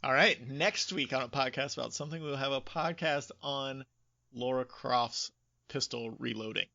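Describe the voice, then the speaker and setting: A man's voice: medium pitch, normal speed, gravelly, bright, forceful tone, dry recording. A man co-hosting a casual podcast, giving emphatic, informal opinions in a close but slightly dull recording. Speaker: podcast co-host. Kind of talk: casual chat about video game movies.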